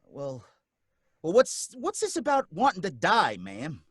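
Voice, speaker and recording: A man's voice: on the high side, wildly swooping pitch, unhurried, fairly bright tone, slightly rough, no room sound, studio quality, exaggerated voice. An actor playing a nervous working-class cab driver who narrates his own story, rambling in folksy street talk. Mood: flustered. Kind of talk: radio drama acting.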